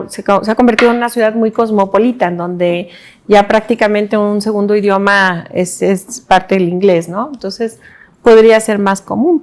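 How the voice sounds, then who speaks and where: A woman's voice: medium pitch, a little mellow. A woman hosting a panel, affirming the guests with frequent interjections. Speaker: host. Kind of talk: moderating a panel.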